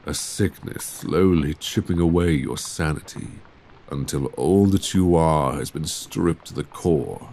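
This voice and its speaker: A man's voice: warm tone, a little hiss or hum. A man playing a tormented, courtly young Victorian vampire in an ASMR roleplay, speaking tenderly and formally, close to a binaural microphone. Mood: brooding, confessional. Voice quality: very deep, breathy, husky. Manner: formal, old-fashioned, measured phrasing. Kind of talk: ASMR roleplay audio drama acting.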